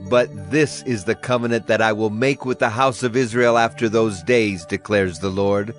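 An actor playing a solemn Hebrew prophet, proclaiming oracles earnestly in a dramatized scripture reading. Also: male voice, on the low side, moderate pace, natural voice. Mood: solemn proclamation. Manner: declamatory, earnest. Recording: music behind the voice.

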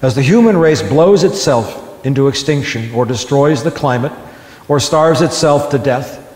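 A man's voice: medium pitch, animated, moderate pace, darkish tone, roomy sound, big-room sound. A man giving a live talk, reading his own essay aloud to an audience with impassioned, building emphasis. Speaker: public speaker.

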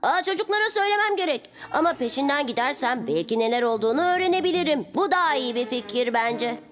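A woman's voice: high-pitched, lively intonation, fast talker, a bit bright, soft background music. A woman voicing an eager, impulsive six-year-old boy superhero in a dubbed children's cartoon. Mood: eager, scheming. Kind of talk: animated children's cartoon dubbing.

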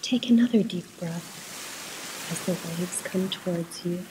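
A woman leading a guided meditation in a soft, at times breathy voice, soothing and unhurried, with pauses between short instructions. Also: on the low side, background noise.

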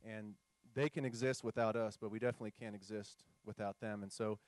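A man's voice: on the low side, fairly even intonation, brisk, a little mellow, no echo. A man on a discussion panel, talking about brewing, with many fillers and repeated words. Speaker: brewery panelist.

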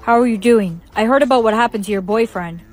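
A woman's voice: a little high, fast, natural voice. A woman acting a blunt, dramatic young sweetheart in an exaggerated comedy skit.

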